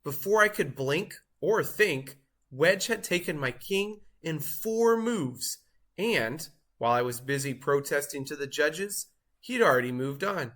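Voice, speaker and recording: A man voicing a boastful, show-off cheese in a theatrical, grandiose storybook read-aloud with drawn-out emphasis. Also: a little high, expressive, fairly bright tone. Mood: astonished, indignant.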